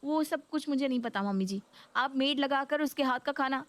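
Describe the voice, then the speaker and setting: Woman acting a young Indian daughter-in-law, highish voice, deferential, explaining, quietly fed up, cartoon story. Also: moderate pace, dry recording, close to an everyday voice.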